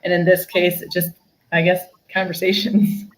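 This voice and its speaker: A woman's voice: fairly low pitch, level tone, normal speed, low-quality recording. Chatty upbeat woman giving career advice. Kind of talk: live panel discussion.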